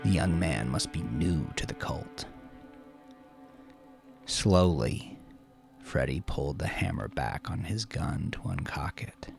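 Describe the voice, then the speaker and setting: A man's voice: rumbling low, expressive, slow, vocal fry, long pauses, darkish tone, faint music behind. A man narrating crime fiction as a dramatic scripted audiobook.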